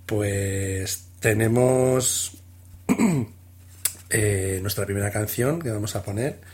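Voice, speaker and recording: A man co-hosting a casual music podcast, introducing songs in a relaxed, halting way. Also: fairly low pitch. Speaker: podcast co-host. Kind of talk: casual radio chat, playing another show's host.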